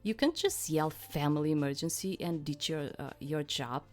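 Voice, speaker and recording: Lower-voiced woman playing and narrating a game. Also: animated, moderate pace, soft background music. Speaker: let's play host. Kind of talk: reading game narration aloud with casual commentary.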